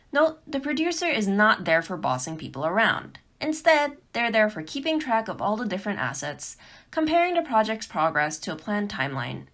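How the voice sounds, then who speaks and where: A woman's voice: mid-range voice, a bit bright. A woman giving a scripted tutorial talk for beginners, phrasing her points carefully and with emphasis. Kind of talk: scripted instructional narration.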